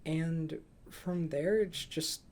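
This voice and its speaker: A man's voice: on the high side, fairly even intonation, extremely slow, a little mellow. A young man hosting a casual movie review podcast, chatting with dry, flippant humour. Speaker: podcast host.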